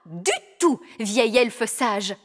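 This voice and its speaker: Haughty, high-pitched fairy nanny. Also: female voice, no echo, studio quality. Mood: indignant. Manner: prim, pompous, deliberate. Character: proud, haughty fairy nanny, easily offended. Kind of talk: animated cartoon dub.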